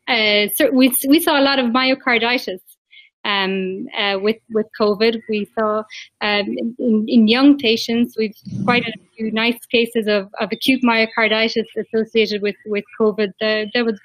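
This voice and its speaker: A woman's voice: a little high, steady tone, normal speed, a bit bright, no room sound, rough recording. A woman in her forties with an Irish accent, a cardiologist talking fluently on a video call, often repeating words as she searches for them. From Ireland. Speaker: interventional cardiologist. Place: small room indoors. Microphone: video call, laptop mic.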